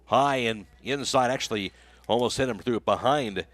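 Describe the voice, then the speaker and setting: A man's voice: mid-range voice, moderate pace, fairly bright tone. An American man calling a baseball game live as a radio play-by-play announcer, in clipped phrases that follow the action.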